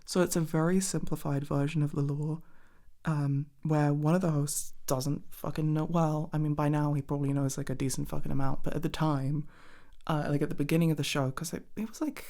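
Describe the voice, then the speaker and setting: A young woman speaks in a low, soft voice that sometimes drops to a near-whisper. She rambles in casual ASMR style as if chatting to her partner, doubling back on her own sentences and swearing offhandedly. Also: flat delivery, fairly quick. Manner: hesitant, rambling, self-interrupting, casually sweary. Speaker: ASMR roleplay performer playing a girlfriend.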